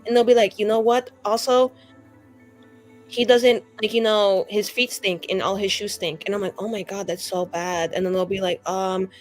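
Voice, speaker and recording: A woman's voice: mid-range voice, steady tone, moderate pace. A woman improvises in character during a tabletop game. Her talk is casual and gossipy, packed with "like" and acted-out asides. Faint music plays behind her. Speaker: tabletop roleplaying player.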